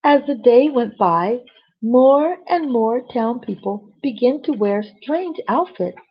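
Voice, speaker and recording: A woman storyteller with a husky voice reads a children's bedtime story expressively, with chatty asides to young listeners. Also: fairly high pitch, expressive, slow. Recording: dull, lo-fi sound.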